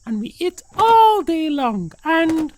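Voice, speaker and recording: A woman voicing a plump pigeon in a children's cartoon, reluctant but obliging, with a drawn-out, expressive delivery. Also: extremely high pitch, no echo, exaggerated voice. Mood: boastful, relishing.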